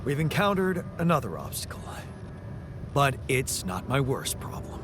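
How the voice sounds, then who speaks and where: A man acting in a video game cutscene as a weary, guarded space base leader, speaking in a measured, grave, subdued way. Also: mid-range voice, sing-song, lightly acted. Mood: grim. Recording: music playing behind the voice.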